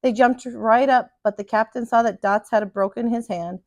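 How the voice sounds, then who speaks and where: A woman reading a storybook aloud for children in an animated, dramatic teacher's style. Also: a little high, moderate pace, dry recording, studio quality.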